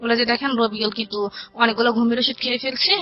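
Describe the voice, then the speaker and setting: Woman, Bangladeshi accent, fairly high pitch, conversational storytelling, quoted dialogue, music behind. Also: fairly even intonation, fast. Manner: recounts dialogue in detail, quoting both sides. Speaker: guest telling her life story. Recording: dull lo-fi radio audio with music. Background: background music.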